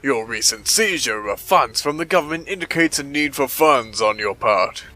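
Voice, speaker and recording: A man playing a smooth-talking, profit-minded industrialist, courteous and wordy, in a dramatic comic dub. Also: mid-range voice, lively intonation, moderate pace, sharp tone. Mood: courteous, calculating.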